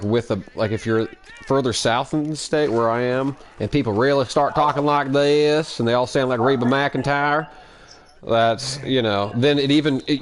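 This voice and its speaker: A man of about thirty from Oklahoma with a slight twang, chatting casually and joking while streaming a football game. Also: mid-range voice. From Oklahoma, USA. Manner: laid-back, joking, chatty. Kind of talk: casual gaming chat.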